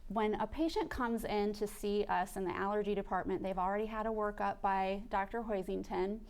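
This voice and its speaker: A woman's voice: medium pitch, fairly even intonation, a little fast, darkish tone. A woman who runs an allergy department, explaining methodically as a guest in a radio studio. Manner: clear, methodical, lists things step by step.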